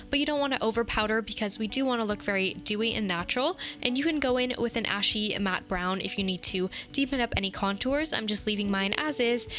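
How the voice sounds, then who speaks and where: A woman's voice: a little high, sharp tone. Upbeat young woman narrating a makeup tutorial. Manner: upbeat, chatty, explains each step. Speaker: beauty tutorial host. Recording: voice-over with clear background music.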